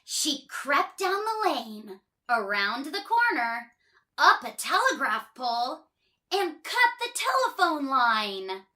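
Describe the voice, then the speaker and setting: A woman reads a picture book to children in a high, girlish register with big swoops of pitch. Her delivery is unhurried and expressive, leaning on words for emphasis. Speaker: children's storybook reader. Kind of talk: animated read-aloud storytelling for children.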